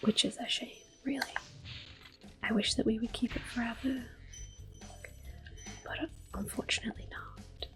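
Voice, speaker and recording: A woman giving gentle, soft-spoken ASMR gameplay commentary in a breathy, unhurried whisper. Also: fairly high pitch, steady tone, faint music behind. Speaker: gameplay host.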